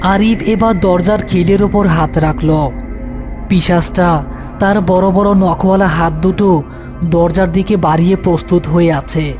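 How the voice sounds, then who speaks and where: High-pitched man narrating a horror story. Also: steady tone, a little slow, over music.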